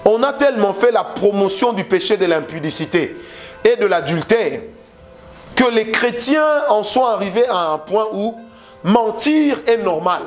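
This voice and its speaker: A man's voice: high-pitched, lively intonation, a little slow, soft background music. A man with a Congolese French accent preaching a sermon, stressing his points with repeated phrases and rhetorical questions.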